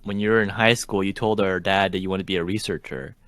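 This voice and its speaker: A man's voice: deep voice, fast talker, dry recording, studio quality. A man co-hosting a casual podcast chat, teasing and quizzing his guest. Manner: teasing, lively interviewer. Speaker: podcast co-host.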